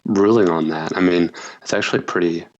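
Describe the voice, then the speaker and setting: A man, a journalist and author, answering interview questions in a measured, thoughtful way. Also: sits low, highs cut off.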